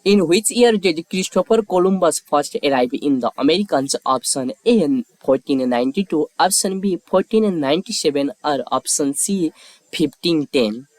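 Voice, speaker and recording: A man's voice: fairly high pitch, expressive, normal speed, darkish tone, no echo, rough recording. A man reading scripted quiz questions and lettered answer options as a narrator.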